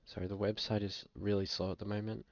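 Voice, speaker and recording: A man's voice: fairly low pitch, level tone, quick pace, creaky, no room sound, highs cut off. A young man with an Australian accent, a bit sick, talking casually and hesitantly through a screen-share review with many fillers. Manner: laid-back, frequent fillers, hesitant. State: sick, occasional coughing. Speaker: fantasy football vlogger. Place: small room indoors.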